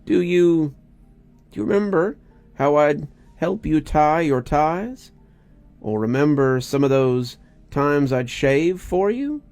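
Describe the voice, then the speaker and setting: A grieving wife, played by a male narrator, pitched high and quivering, coos and pleads with desperate hope in a storybook reading. Music plays behind the slow words. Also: animated, a little mellow, no room sound. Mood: tender, pleading. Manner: cooing, pleading.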